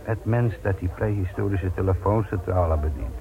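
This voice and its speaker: Wry police inspector, thin phone-like sound. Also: male voice, deep voice, a crowd in the background. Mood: dryly confident. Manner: dry, ironic, unhurried banter. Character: wry, stubborn male police inspector, dryly insolent. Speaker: actor in a Dutch radio play. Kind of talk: radio drama acting. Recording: narrow phone-like old radio recording.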